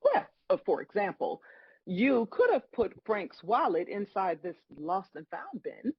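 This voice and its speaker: An older woman playing a folksy, unflappable female God in a comedy table read, husky, wry and matter-of-fact. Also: mid-range voice, sing-song, unhurried, no room sound, muffled recording, exaggerated voice. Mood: disappointed, patient. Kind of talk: live table read acting.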